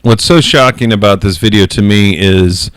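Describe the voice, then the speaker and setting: A man with a loud voice hosting a casual podcast, speaking frankly with many self-corrections. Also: on the low side, normal speed, no echo. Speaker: podcast host.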